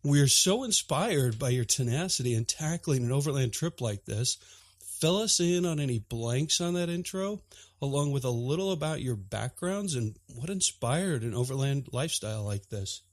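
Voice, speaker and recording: A man's voice: mid-range voice, expressive, normal speed, dry recording. Guy hosting a podcast, upbeat, asks questions, dry close mic. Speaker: podcast host. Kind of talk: friendly interview questions.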